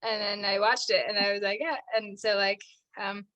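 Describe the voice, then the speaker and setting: A woman's voice: mid-range voice, little pitch movement, moderate pace, bright, forceful tone, muffled recording. A young American actress chatting as a podcast guest, filling her talk with "like" and frequent laughs. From United States. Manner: chatty, says "like" constantly, laughs often. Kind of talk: casual interview chat.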